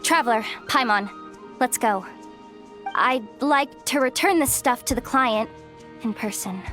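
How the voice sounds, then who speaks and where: A woman's voice: fairly high pitch, unhurried, a bit bright, faint music behind. An actress playing a loyal, kind-hearted, streetwise young woman in a video game, speaking in an earnest, plucky, slightly guarded way. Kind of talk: dramatic video game voice acting.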